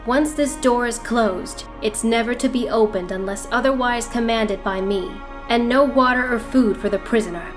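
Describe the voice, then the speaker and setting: A woman playing a cold, imperious young ninja clan leader in a motion comic, speaking in a haughty, measured, formal way. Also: on the high side, over music, close to an everyday voice. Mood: ruthless command. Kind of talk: motion comic villain monologue.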